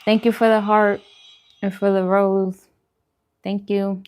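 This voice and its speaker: A woman's voice: medium pitch, flat delivery, slow, darkish tone, clear, resonant tone. A woman chatting casually on a livestream as host while she eats, informal and self-deprecating in her manner. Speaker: vlogger hosting a live mukbang stream.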